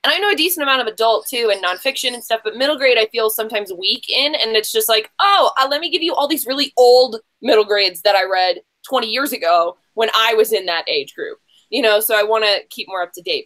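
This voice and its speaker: A woman's voice: mid-range voice, fairly bright tone. A woman hosting a casual livestream game show in a chatty, gushing way, rambling and interrupting herself with slangy asides.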